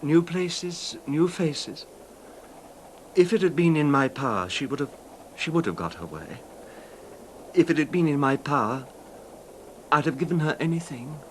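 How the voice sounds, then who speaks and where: Fragile, highly animated husband. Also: male voice, a little slow, long pauses. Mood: wistful, reflective. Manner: hesitant, stammering, anxious. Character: emotionally fragile, apologetic husband who dreads confrontation. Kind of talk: 1970s British radio drama acting. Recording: noisy 1970s radio broadcast recording.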